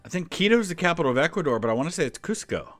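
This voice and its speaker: A man's voice: fairly high pitch, sing-song, racing, fairly bright tone, no room sound, faint music behind. An American man in his forties hosting a history channel with casual, conversational reaction commentary and explanatory asides. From United States.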